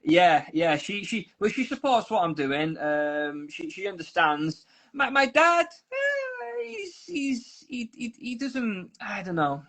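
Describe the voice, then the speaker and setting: A man with a Manchester accent talks loosely over a video call. He rambles, doubles back on his words and wanders into asides. His voice sits a little high for a man. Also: huge pitch swings, takes it slowly, a bit bright. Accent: Manchester English. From South Manchester, England. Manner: rambling, with frequent restarts and asides. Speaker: volunteer guest. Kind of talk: casual interview chat. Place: Ukraine.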